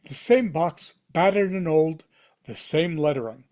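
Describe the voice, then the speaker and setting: A man narrates a screenplay for a table read. His voice sits a bit higher than average for a man. He delivers scene headings and action in short, punchy, dramatic phrases. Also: animated, unhurried, darkish tone. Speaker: stage-direction reader at table read. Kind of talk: reading screenplay directions aloud.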